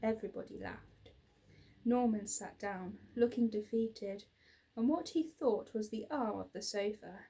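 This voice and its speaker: A woman in her thirties narrating a children's story expressively, with dramatic, deliberate emphasis. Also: medium pitch, mellow, breathy edge. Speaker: teacher reading a children's book aloud. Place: small plain indoor room.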